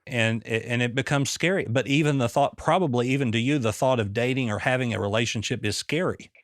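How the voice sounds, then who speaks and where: Calm, direct man interviewing in a dry close studio. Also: medium pitch, fairly quick, no echo. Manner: calm, direct, repeats his questions pointedly. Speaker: podcast host, therapist-style interviewer. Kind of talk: probing conversational interview.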